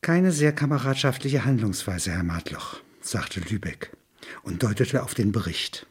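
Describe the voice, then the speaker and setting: A man's voice: on the low side, animated, measured pace, breathy edge. A man reading a literary story aloud, narration and quoted dialogue alike. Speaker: reader of a literary text.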